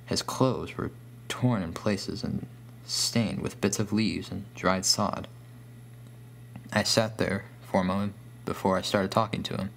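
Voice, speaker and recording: A man with a deep, low voice narrates a scripted horror tale as a storyteller. A faint hum sits under the recording. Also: fairly even intonation, a little slow, gravelly, poor audio.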